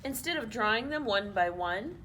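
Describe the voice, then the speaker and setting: A woman hosting a children's storytime, reading a picture book aloud in an expressive, playful way. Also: fairly high pitch, moderate pace, slight background noise, low-quality recording. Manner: animated, expressive, playful asides. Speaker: children's storytime host at a library.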